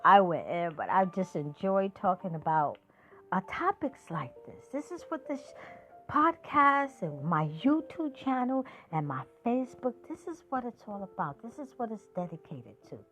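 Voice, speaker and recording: Passionate sixty-year-old woman, lively pitch. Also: moderate pace, soft background music. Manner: emphatic, preachy, lively rises and drops. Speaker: podcast host. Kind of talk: passionate monologue.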